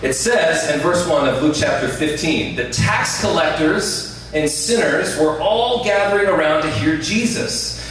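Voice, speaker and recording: A man preaching a sermon to a live audience in a loud, conversational voice, often checking that listeners follow. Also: a little high, lively intonation, a little slow, few pauses, slight background noise. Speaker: guest preacher. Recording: very echoey room, distant mic, faint ambience.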